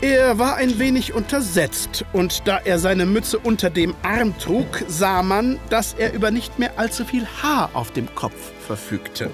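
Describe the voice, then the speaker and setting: A man's voice: high voice, very animated, measured pace, hardly any pauses, soft background music. An actor playing a polite male ex-army doctor who tells his story in an audio drama. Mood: narrating. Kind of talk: first-person scripted dramatic narration.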